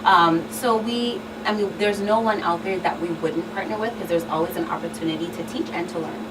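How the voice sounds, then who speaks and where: A woman's voice: on the low side, a little fast. A woman speaking as a guest expert in a talk show discussion, earnest and emphatic, often repeating her phrasing for weight. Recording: distant mic, clear background noise.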